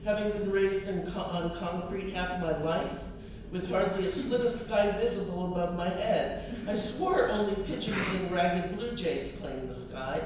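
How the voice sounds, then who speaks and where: An American woman in middle age reads her poetry and personal prose with measured, expressive care. The recording is very reverberant, with the microphone at a distance and clear noise behind her. Her phrasing is deliberate and literary. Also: lowish voice. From US East Coast. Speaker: poet and college professor reading own work. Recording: distant mic, echoey room, clear noise.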